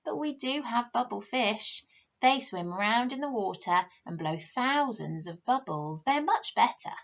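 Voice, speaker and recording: A woman's voice: a little high, lively intonation, unhurried, fairly bright tone, dull audio, exaggerated voice. A woman voicing a boastful, bossy young princess from a storybook, breezy, haughty and emphatic. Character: boastful, bossy young princess girl telling tall tales.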